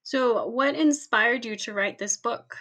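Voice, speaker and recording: A woman hosting a podcast, reading a scripted introduction and asking interview questions in a clear, measured way. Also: fairly high pitch, fairly bright tone. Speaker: podcast host.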